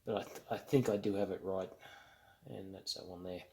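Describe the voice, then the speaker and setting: A man with a fairly low voice and strong vocal fry talks through hands-on hobby work. His delivery is casual and unscripted, with a halting flow as he thinks aloud. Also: fairly even intonation, a little mellow. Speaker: hobbyist narrating an electronics kit build. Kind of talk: casual unscripted how-to commentary.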